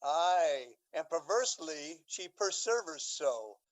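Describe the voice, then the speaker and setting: A woman's voice: deep voice, lively intonation, slow pace. A woman playing a scheming, pompous older Duke in a stately, theatrical Shakespearean radio drama. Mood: stately, confiding.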